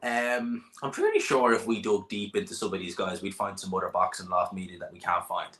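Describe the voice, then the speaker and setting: A man with a fairly low but bright, forceful voice hosts a casual boxing live stream. He teases his guest, laughs a lot and keeps the chat moving. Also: steady tone, a little fast. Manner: jokey, banter-heavy, laughs often. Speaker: boxing channel host.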